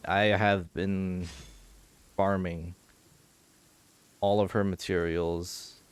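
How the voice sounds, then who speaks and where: A man's voice: low voice, flat delivery, drawn-out words, smooth. A man talking casually as he streams a video game, commenting live on what he is doing.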